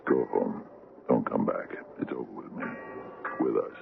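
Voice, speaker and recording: Very deep, gravelly ailing sea captain. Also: male voice, over music, heavily acted. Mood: weak, resigned. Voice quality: gravelly, weak. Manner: halting, stammering, repeats words. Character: gruff, stubborn, ailing ship's captain, weakening and fearful. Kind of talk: old-time radio drama acting. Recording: noisy, tinny old radio recording.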